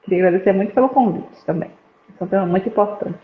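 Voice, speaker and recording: A woman's voice: mid-range voice, very fast. A young woman from northeastern Brazil, a psychology student, explaining her suicide prevention work in a casual live-stream chat, with frequent "né" tags. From Petrolina, Pernambuco, Brazil. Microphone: video call. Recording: roomy, dull lo-fi live stream audio.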